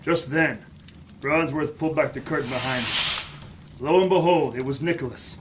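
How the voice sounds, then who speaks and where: A man's voice: on the high side, moderate pace, very noisy, close to an everyday voice. A man playing a wry, tough private detective in a noir audio drama, narrating and speaking in the first person. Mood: grim, tense. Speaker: lead actor and narrator.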